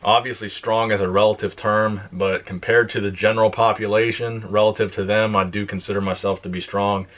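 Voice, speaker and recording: A young man on a fitness channel, casually answering viewer questions in a plain, relaxed way. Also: lowish voice, brisk, few pauses. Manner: relaxed, plain-spoken, reads questions aloud then answers. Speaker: fitness YouTuber. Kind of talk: casual Q and A vlog.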